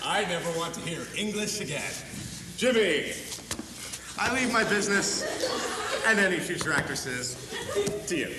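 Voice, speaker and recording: A young actor on a school stage playing a vain, weary playwright who speaks in grand, melodramatic declarations. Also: male voice, high-pitched, animated, moderate pace, pauses often. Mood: theatrical. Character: vain weary male playwright, theatrical and self-pitying. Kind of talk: amateur stage acting. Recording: distant, echoey hall with loud audience noise.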